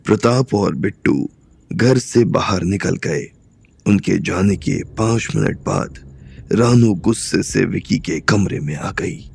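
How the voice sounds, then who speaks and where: Low, slow, creaky male story narrator. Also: a little mellow, a little hiss or hum. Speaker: audiobook narrator. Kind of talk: scripted Hindi story narration.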